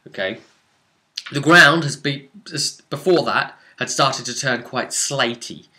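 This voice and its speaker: Bright, forceful man telling game stories. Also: mid-range voice, takes it slowly. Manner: rambling, descriptive, dramatic emphasis on key words. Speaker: game master recounting a tabletop session to viewers. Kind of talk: informal storytelling and explanation of a tabletop role-playing session.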